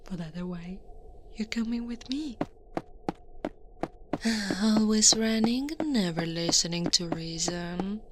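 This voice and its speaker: An Italian woman voicing a towering Krampus monster woman, a stern yet soothing captor, in a breathy ASMR whisper. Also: mid-range voice, natural voice. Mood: soothing menace. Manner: slow, deliberate, coaxing and menacing. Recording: noisy ambient background.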